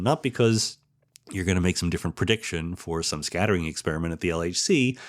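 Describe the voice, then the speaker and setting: A man giving an informal explanatory monologue as a podcast host, posing questions and answering them himself. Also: sits low. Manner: explanatory, asks and answers own rhetorical questions. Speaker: podcast host, theoretical physicist.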